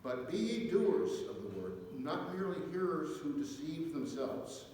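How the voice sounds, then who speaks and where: A man with a slightly high voice reads scripture to a church service in measured, deliberate phrases. He is picked up from a distance, and the long echo of the church hangs on his words. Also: very animated, darkish tone. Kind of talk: liturgical scripture reading aloud. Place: inside a church, reverberant. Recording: echoey church hall, distant mic, faint noise.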